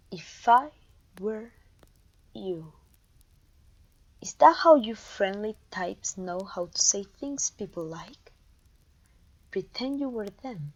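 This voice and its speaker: A woman's voice: mid-range voice, darkish tone, slightly rough, full character voice, poor audio. A woman playing a haughty, domineering evil queen and sorceress in a scripted roleplay audio, imperious, scornful and theatrical. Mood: pensive, haughty. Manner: imperious, scornful, theatrical, with sighs and disgusted groans.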